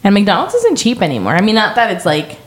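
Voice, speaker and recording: A woman's voice: mid-range voice, wildly swooping pitch, fast. A young adult woman co-hosting a podcast in casual chat, talking in a chatty way with lots of "like" and laughter. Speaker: podcast co-host.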